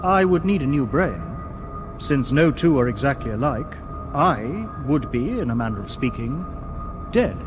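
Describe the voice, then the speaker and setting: An actor playing a humanlike robot detective in a radio drama, calm, literal and dutiful, with formal, precise, measured wording. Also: male voice, mid-range voice, music in the background. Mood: calm, matter-of-fact. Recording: noisy old radio drama soundtrack.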